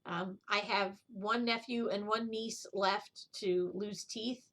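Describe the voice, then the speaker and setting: A woman's voice: medium pitch, fairly even intonation, slow pace, a bit bright. Rambling woman in a casual craft vlog. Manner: rambling, goes off on tangents. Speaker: needlework video host. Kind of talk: casual chat, show-and-tell vlog.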